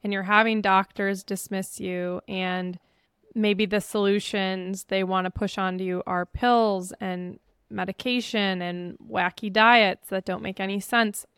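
A woman hosts a casual interview podcast with a clean, resonant voice. Her sound is dry, with very little room in it. She speaks at a middling pitch and comes across as effusive and supportive. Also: steady tone, a little slow, a bit bright, smooth, dry recording. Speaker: podcast host.

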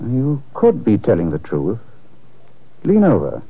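An actor playing a suave, wry, unflappable gentleman sleuth with dry, polished delivery in an old-time radio detective drama. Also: fairly low pitch, wildly swooping pitch, unhurried, breathy edge, no echo, full character voice. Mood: wry, measured. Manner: dry, teasing, polished interrogation. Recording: tinny, band-limited old radio recording.

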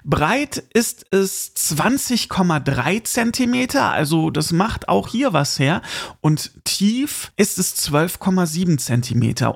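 Creaky, higher-pitched man podcasting. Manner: chatty, informal, addresses listener directly. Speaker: podcast host. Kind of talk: casual solo podcast monologue with gift tips.

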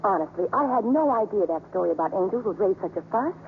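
An actress playing a brisk, chatty, opinionated newspaper columnist in a 1950s radio drama. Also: female voice, mid-range voice, expressive, a little hiss or hum, close to an everyday voice. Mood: fussy, nosy. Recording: muffled 1950s radio broadcast, music behind.

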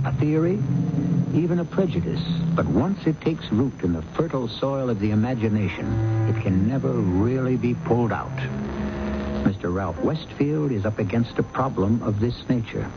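A man performing a solemn radio mystery storyteller. His delivery swings widely in pitch, with measured, theatrical pauses. It comes through heavy noise on an old broadcast recording. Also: expressive, dull audio, close to an everyday voice. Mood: ominous, measured. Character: solemn, ominous male storyteller introducing a mystery. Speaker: host narrator of a radio mystery. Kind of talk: 1970s radio drama narration.

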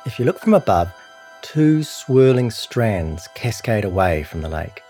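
A man with a New Zealand accent reading a scripted long-form news feature as narrator, in a measured, serious way. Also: on the low side, very animated, a little mellow, faint music behind. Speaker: narrator of a long-read journalism podcast.

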